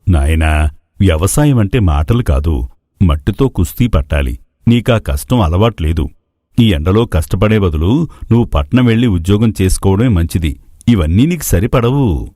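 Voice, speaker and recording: A man's voice: deep voice, expressive, normal speed, warm tone, dry recording, clean studio sound. A man playing an anxious, evasive older village farmer father, hesitant and stammering. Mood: discouraging.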